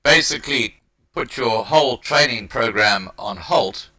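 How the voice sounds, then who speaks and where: Bright-voiced male interviewer, lively pitch. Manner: halting, repeats words as he builds a point. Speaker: live stream host, interviewer. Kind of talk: conversational interview commentary.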